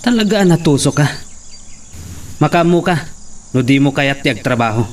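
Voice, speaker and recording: A man's voice: a little high, animated, unhurried, far from the mic, slight background noise. A man acting a plain-spoken hacienda foreman in an Ilocano drama, with an Ilocano accent. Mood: needling, wary.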